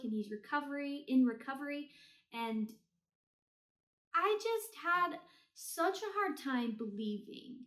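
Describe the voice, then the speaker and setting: A woman giving a casual, chatty book review with blunt, hyperbolic opinions and slangy phrasing. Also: fairly high pitch. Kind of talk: casual chatty ranking of books read. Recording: roomy, echoey sound.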